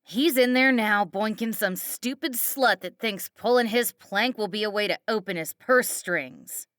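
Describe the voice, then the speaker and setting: Audiobook role: jealous, bullying, substance-fuelled mom, acted by a female reader. Sharp, slangy, domineering, close dry recording. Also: medium pitch, measured pace, dry recording, lightly acted. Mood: scornful lecturing. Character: vicious, drug-addled, foul-mouthed mother, jealous and bullying. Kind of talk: audiobook character acting.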